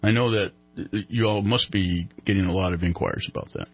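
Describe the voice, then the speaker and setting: A man with a low, slightly rough voice hosts a casual radio interview. He is chatty and wanders through his questions in a joking manner. The audio is a little dull. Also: a little fast. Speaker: radio host.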